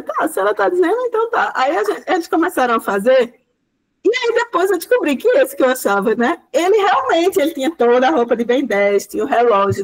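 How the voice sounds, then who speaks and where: Lively high-voiced woman lecturer. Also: poor audio. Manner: lively, many fillers, acts out quoted speech. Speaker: guest lecturer. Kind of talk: animated classroom storytelling.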